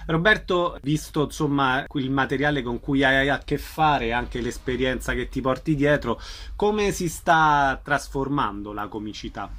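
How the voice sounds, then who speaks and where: A man's voice: medium pitch, unhurried, fairly bright tone. A man working as an interviewer, putting questions to a guest in a conversational broadcast style. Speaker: radio interviewer.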